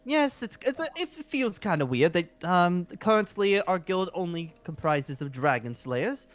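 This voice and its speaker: A man's voice: high voice, animated, measured pace. A man playing a polite, flustered, centuries-old dragon slayer guild leader in an improvised fantasy roleplay, hesitant and apologetic. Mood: welcoming.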